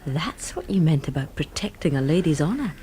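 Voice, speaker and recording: A woman's voice: sits low, big pitch swings, a little fast, warm tone, slight background noise. An actress playing a down-to-earth, sceptical young woman in a radio drama, blunt and questioning, teasing but guarded. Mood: curious, probing.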